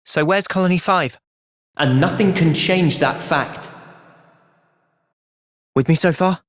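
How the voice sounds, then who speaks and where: A man with an English accent playing an earnest, thoughtful young soldier hero in a video game, speaking sincerely and with measured delivery. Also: fairly high pitch, no room sound, clean studio sound. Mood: calm, earnest. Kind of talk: video game cutscene voice acting.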